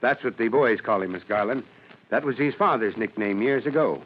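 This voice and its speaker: A man's voice: medium pitch, normal speed, lightly acted. An actor playing a genial, authoritative fire chief in an old-time radio drama, courteous to his guest. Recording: muffled, noisy old radio recording.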